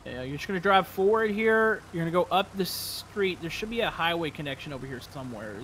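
A man with a high, bright voice chats casually as he guides fellow players through a game. Vehicle and game sounds run clearly behind him. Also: expressive, quick pace, a little hiss or hum, low-quality recording. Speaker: gamer in group roleplay, giving directions. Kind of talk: casual multiplayer gaming chat.

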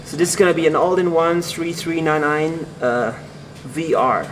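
Man, fairly high voice, casual tour interviewer, short questions, repeats answers, noisy open office, camera mic. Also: moderate pace. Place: open-plan office, indoors. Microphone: handheld camera mic, voice from behind camera. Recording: noisy office ambience, handheld camera sound.

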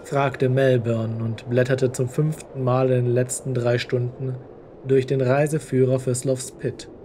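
Guy narrating a story, soft dark tone, medium pitch. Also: little pitch movement, unhurried, a little hiss or hum.

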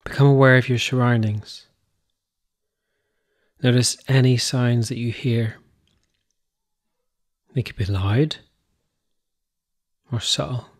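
Man leading a slow guided meditation. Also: mid-range voice, steady tone. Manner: gentle, unhurried instruction with short phrases and pauses.

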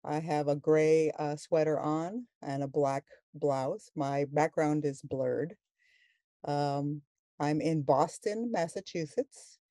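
Halting interpreter voice on a video call. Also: mid-range voice, mellow, no echo, studio quality. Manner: halting, with frequent fillers and restarts. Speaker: sign language interpreter voicing a panelist. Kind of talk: simultaneous voice interpreting on a video panel.

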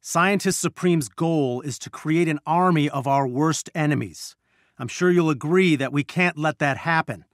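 An actor playing an earnest, brainy scientist and weary resistance leader, giving articulate, measured mission briefings in a video game. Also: male voice, fairly high pitch, no room sound, clean studio sound, natural voice. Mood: grateful, resolute.

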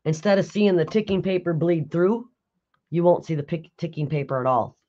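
A raspy, hoarse woman hosting a live craft tutorial, chatting with viewers and answering their comments while she works. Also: fairly low pitch, normal speed, dark tone, muffled recording. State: hoarse after illness. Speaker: craft video host. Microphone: overhead camera on a craft table.

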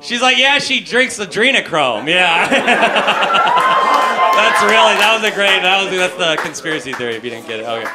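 A man's voice: sits high, wildly swooping pitch, normal speed, hardly any pauses. A young man doing crowd work on stage with a handheld mic, teasing the audience and laughing at his own jokes. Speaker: stand-up comedian. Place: comedy club stage with a live audience. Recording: loud crowd laughter and club noise.

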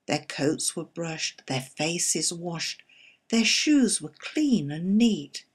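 A woman expressively reciting a narrative poem in a measured storytelling manner, with a slightly husky voice. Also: fairly low pitch, big pitch swings. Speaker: poetry reader.

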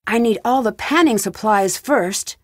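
A woman's voice: mid-range voice, lively intonation, measured pace, no room sound, studio quality. A woman playing a curious young female detective in a video game, musing to herself. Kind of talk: video game character acting.

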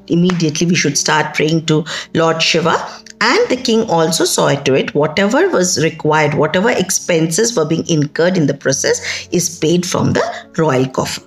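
A middle-aged woman with an Indian accent telling a folktale in an expressive, emphatic way, with conversational asides. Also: low voice. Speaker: storyteller. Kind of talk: dramatic oral folktale storytelling. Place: indoors, small plain room. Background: music.

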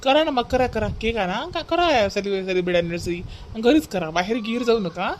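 A man voicing a cautious, gently bossy husband in a cartoon family comedy, giving advice. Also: high voice, expressive, fairly quick, a little hiss or hum. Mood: cautioning. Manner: advising, cautioning.

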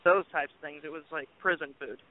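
A film director from Colorado, talking casually and joking in an interview with plenty of fillers. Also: male voice, on the high side, fairly even intonation, a little fast, no room sound. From Colorado, USA. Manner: joking, talkative, thinks aloud with fillers. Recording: tinny phone line.

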